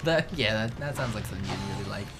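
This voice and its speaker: Casual gaming co-host, giggly and silly, bright tone, highly animated delivery. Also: quick pace, faint music behind. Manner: giggly, goofy, breaks into silly sounds. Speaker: co-host commentator of a gaming show. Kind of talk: casual joking let's play chat.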